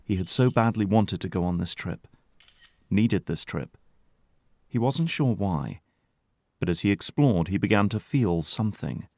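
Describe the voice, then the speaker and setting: A man's voice: sits low, expressive, a little slow, dark tone, no echo. A man narrating an audio drama in a scripted, dramatic storytelling style.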